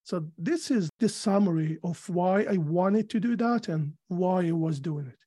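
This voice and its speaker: A man from Algeria with an Algerian accent, answering interview questions in a measured, thoughtful way with frequent fillers. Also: sits high, dark tone, slightly rough. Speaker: guest. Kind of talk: casual interview.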